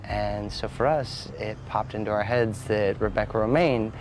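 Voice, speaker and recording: A man's voice: on the low side, steady tone, normal speed. A man in an interview commenting on the making of a film and its cast. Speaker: filmmaker interviewed in featurette. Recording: music behind.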